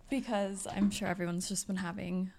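A woman's voice: medium pitch, vocal fry, darkish tone. A young woman co-hosting a podcast in casual chat, laughing often and trailing off. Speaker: podcast co-host.

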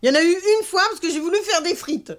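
A woman's voice: extremely high pitch, fast talker. An actress playing a touchy, fussy middle-aged mother in a podcast drama, nagging and plaintive, laying on guilt. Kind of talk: naturalistic French fiction podcast acting.

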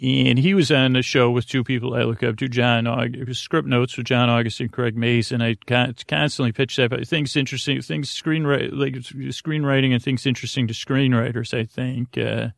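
Creaky, rambling man hosting a sleep podcast. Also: mid-range voice, little pitch movement, dry recording, clean studio sound. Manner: hesitant, halting, trails off and loops back. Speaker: host of a bedtime sleep podcast. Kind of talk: rambling, meandering bedtime monologue.

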